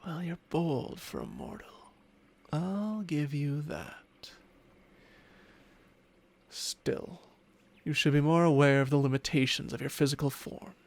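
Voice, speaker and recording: A man's voice: a little high, creaky, darkish tone, exaggerated voice. A man playing a smug, possessive ancient dragon in human form, teasing and condescending in an intimate ASMR roleplay with formal, archaic phrasing. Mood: condescending, amused. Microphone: close microphone, spatial ASMR recording.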